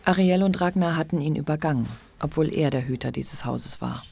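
A woman narrating a literary radio play with measured, deliberate phrasing. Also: low-pitched, creaky, darkish tone, slight background noise.

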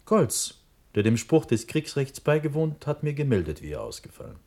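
An actor playing an impulsive, trusting young Prussian prince in a classical verse radio play, with declamatory, impassioned delivery that moves from urgent to hushed. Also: male voice, mid-range voice, very animated, normal speed. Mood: insistent, confident.

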